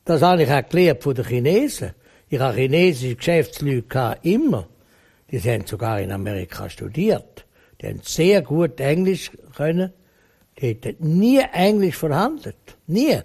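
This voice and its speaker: A man's voice: fairly high pitch, gravelly, darkish tone, slightly rough. A man with a Swiss German accent, talking bluntly and emphatically in a rambling interview answer. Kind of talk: opinionated conversational interview.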